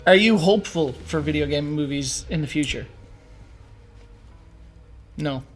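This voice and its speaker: A man's voice: fairly high pitch, measured pace, soft background music. A man co-hosting a film discussion show, chatting casually with his fellow host. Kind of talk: casual banter about movies.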